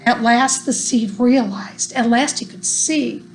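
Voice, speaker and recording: A woman reading a rhyming children's story aloud in an expressive, deliberate storytelling manner. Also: fairly high pitch, gravelly, slight background noise, rough recording. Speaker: storybook reader.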